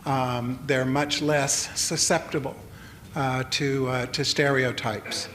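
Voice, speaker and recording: An older man giving a public lecture, talking in a halting way with many fillers and restarts. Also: mid-range voice, little pitch movement, fairly bright tone. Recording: long hall reverb, faint noise.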